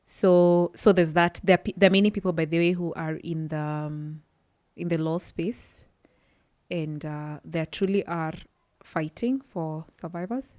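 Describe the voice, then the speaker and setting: Low-voiced woman, earnest. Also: unhurried, darkish tone, no room sound. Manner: earnest, searching for words with frequent restarts. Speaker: podcast guest, psychology worker. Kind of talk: personal testimony in a podcast interview.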